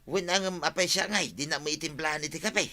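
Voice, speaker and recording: A man's voice: on the high side, fast talker, sharp tone, a little hiss or hum. A man with an Ilocano accent playing a grumbling, scheming village husband in a comedy radio drama, complaining and exclaiming.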